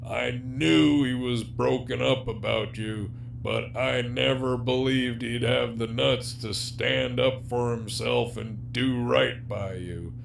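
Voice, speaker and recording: A man's voice: medium pitch, steady tone, slow, gravelly, hardly any pauses, rough recording. A man acting in an audio drama as a jaded, sardonic, despairing prisoner villain with a profane, wry, teasing drawl. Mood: sincere, regretful. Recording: faint background hum and noise.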